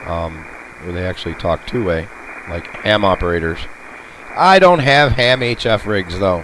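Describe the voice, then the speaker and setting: A man's voice: lowish voice, background noise. A man chatting casually and muttering playful asides to himself as he tunes around as a radio hobbyist. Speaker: radio hobbyist showing shortwave listening. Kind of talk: casual rambling chat while tuning. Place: apartment room. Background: shortwave radio playing.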